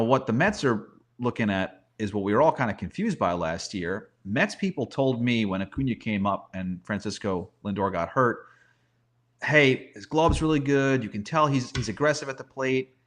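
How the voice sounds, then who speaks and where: A man's voice: medium pitch, a bit bright. A man giving off-the-cuff sports analysis in casual talk, conversational, often repeating words as he thinks aloud. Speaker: baseball commentator, guest analyst.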